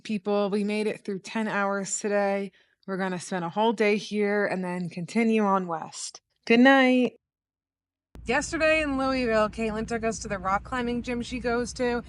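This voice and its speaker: A woman's voice: medium pitch, a little hiss or hum. A young woman from the US East Coast, narrating a casual travel vlog in a chatty, upbeat way with lots of "like". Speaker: vlogger. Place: inside a car on a road trip.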